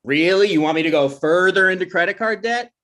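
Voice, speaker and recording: A man plays a touchy grown son and socially awkward host in a comedy read-through. He is defensive and quick to argue, speaking in an everyday conversational voice. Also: high-pitched, a bit bright. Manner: defensive, argumentative. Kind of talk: comedy script table read.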